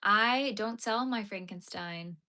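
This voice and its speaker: Bright-voiced Canadian woman chatting while crocheting. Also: mid-range voice, slow pace. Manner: reads and answers chat comments while crocheting. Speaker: crochet livestreamer. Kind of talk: casual livestream chat.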